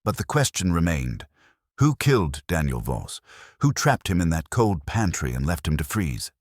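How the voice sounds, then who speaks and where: A man narrating a detective story in a measured, dramatic literary style, as for an audiobook. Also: deep voice, very animated, no room sound, studio quality. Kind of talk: scripted first-person detective story narration.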